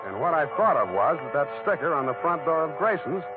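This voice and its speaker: An actor playing a wry, deadpan hard-boiled private detective in a 1940s radio drama, a man who wisecracks as he narrates. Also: fairly high pitch, a little fast. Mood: tense, deliberate. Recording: old narrow-band radio recording with loud music.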